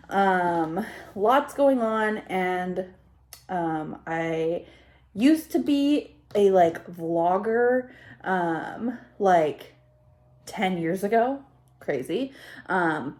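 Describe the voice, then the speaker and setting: Female vlogger, 30, slightly congested, slow and scattered, self-deprecating casual delivery. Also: mid-range voice. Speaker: YouTube vlogger. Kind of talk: casual rambling chat to camera. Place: home kitchen, indoors.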